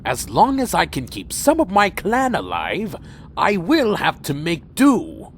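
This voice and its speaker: A man's voice: on the high side, wildly swooping pitch, unhurried, a little hiss or hum, exaggerated voice. A man playing a weary, proud winged vampire clan leader in a fan audio drama, speaking formally and broodingly. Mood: weary, resigned.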